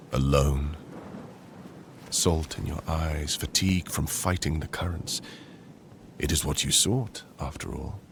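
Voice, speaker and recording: Man acting a very deep, gravelly conqueror king. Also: noisy background, heavily acted. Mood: stern, taunting.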